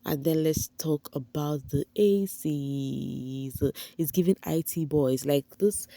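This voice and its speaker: A young woman chats straight to her viewers in a breezy, gossipy recap. Her voice sits low and mellow. The sound is close and dry, with no room around it. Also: slow pace, dry recording. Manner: breezy, gossipy, with slangy asides and "you know" fillers. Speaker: entertainment vlogger. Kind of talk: chatty gossip recap to camera.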